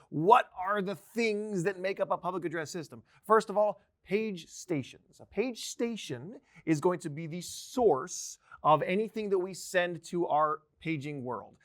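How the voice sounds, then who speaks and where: Male instructor, thirties, slightly high-pitched voice; casual explanatory delivery with a playful streak, for training videos. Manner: conversational, explanatory, with playful asides. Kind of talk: informal instructional presentation to camera. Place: indoor training video studio, seated at a desk. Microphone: lavalier clipped to shirt.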